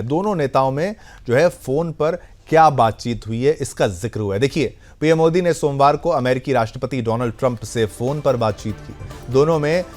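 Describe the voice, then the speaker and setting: A man's voice: medium pitch, darkish tone. A man anchoring television news, explaining stories conversationally and often rephrasing points for viewers. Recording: music bed under the voice. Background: background music.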